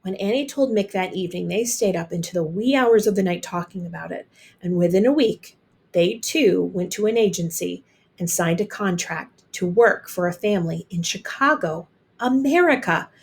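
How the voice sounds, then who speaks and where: A woman reading a children's story aloud as an expressive storyteller. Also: lowish voice, moderate pace. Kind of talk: expressive children's picture book narration.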